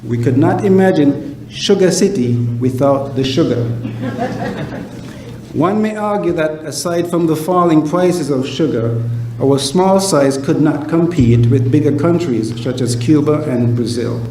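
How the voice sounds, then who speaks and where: A man's voice: on the high side, a little slow, dark tone. A man from Saint Kitts giving a formal, earnest, rhetorical speech as a keynote speaker. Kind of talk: formal motivational speech to an audience. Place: event hall at a gala. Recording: echoey room, distant mic, background noise.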